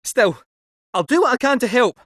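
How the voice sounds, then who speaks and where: A chatty male robot cat fortune teller from a video game, with a lilting Scottish accent. The voice sits high and leaps about playfully in pitch. Recorded dry and close, with no room sound. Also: big pitch swings, moderate pace, a bit bright, dry recording, full character voice. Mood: contrite.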